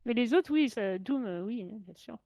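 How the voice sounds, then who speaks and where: A woman's voice: fairly high pitch, expressive, normal speed, warm tone, no echo, studio quality. A woman with a French accent, co-hosting a casual stream, laughing and protesting teasing. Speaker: co-host. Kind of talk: casual live stream chat.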